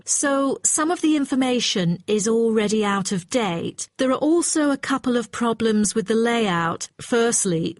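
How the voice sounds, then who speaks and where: A woman's voice: on the high side, no room sound. A woman playing an exacting, matter-of-fact hotel client in a scripted listening-test dialogue. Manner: formal, precise, matter-of-fact. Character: brisk, exacting female hotel client requesting brochure revisions.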